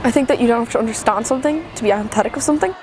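An Irish teenage girl speaking quickly and earnestly in an interview. Her voice sits a little high. A noticeable hum runs behind her. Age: teens. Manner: quick, earnest, many fillers. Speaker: secondary school student. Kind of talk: interview soundbite.

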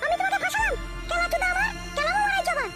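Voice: very high-pitched, racing. A performer voicing an aggrieved, defiant wife in an adult comedy cartoon, answering back with whining indignation. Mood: hurt, indignant. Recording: very loud music under the voice.

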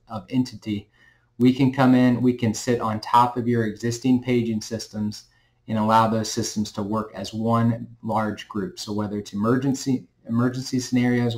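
A middle-aged man with a medium-pitched voice talks through a product for his company. He speaks in a plain, conversational sales style, recorded at a computer in a small indoor room. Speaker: company representative presenting a product. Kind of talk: informal product explainer to camera.

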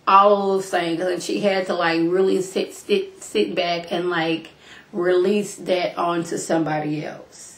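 A young woman recaps a show into her webcam, her voice stuffy and a little hoarse from a cold. She talks loose and slangy, calling her viewers y'all, with a lowish voice. The small home room gives off a noticeable echo. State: congested and slightly hoarse from illness. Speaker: reality TV recap vlogger. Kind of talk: casual recap talk to camera. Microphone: webcam at desk distance.

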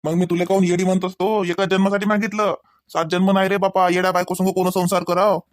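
Teasing husband, high-pitched man acting. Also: little pitch movement, fast talker, no echo, clean studio sound, lightly acted. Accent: Varhadi Marathi. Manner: bantering, teasing. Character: teasing cartoon husband hurrying off to work. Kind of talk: Marathi comedy cartoon dialogue.

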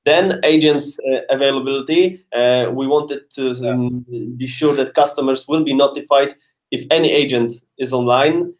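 A man's voice: medium pitch, moderate pace, rough recording. Man presenting on a webinar. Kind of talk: product presentation on a video call.

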